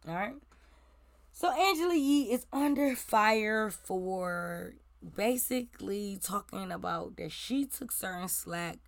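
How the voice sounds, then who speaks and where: A woman in her forties with a slightly raspy voice, hosting casual celebrity gossip commentary in a blunt, profane, ranting way. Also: mid-range voice, lively intonation, slow, no room sound, clean studio sound.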